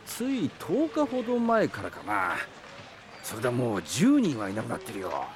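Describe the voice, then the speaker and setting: A man's voice: mid-range voice, huge pitch swings, normal speed, noisy background. A man playing a chatty, folksy grape seller in an audio drama, with colloquial vendor patter. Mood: eager, gossipy. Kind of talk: Japanese audio drama acting. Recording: music behind the voice.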